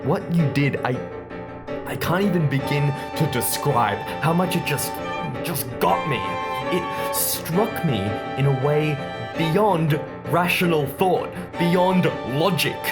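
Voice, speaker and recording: A man's voice: a little high, slow. A man playing a vengeful, menacing character in a dramatic comic monologue, swearing and dropping into whispers. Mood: wounded intensity. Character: vengeful man menacingly threatening a whale, unhinged and theatrical. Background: music.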